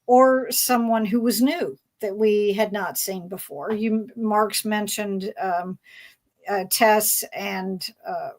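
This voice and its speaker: A woman moderating a casual fan panel discussion, speaking haltingly as she searches for words. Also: mid-range voice.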